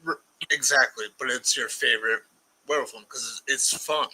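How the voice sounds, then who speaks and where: A man's voice: mid-range voice, fairly even intonation, normal speed, bright, forceful tone, breathy edge, rough recording. A man hosting a casual live-stream chat, reading viewer comments and often stammering as he starts sentences. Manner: stammering, repeats words when starting.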